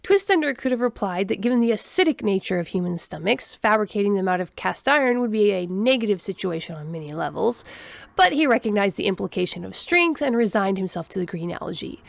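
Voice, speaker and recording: A woman's voice: medium pitch, expressive, brisk, gravelly, no room sound. A woman narrating a scripted story as a storyteller.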